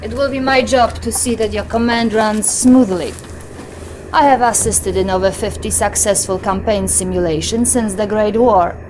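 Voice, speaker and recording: Female actor as young Soviet lieutenant, medium pitch, formal and deferential, faint noise behind, game briefing. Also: darkish tone, close to an everyday voice. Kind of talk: cinematic game briefing acting.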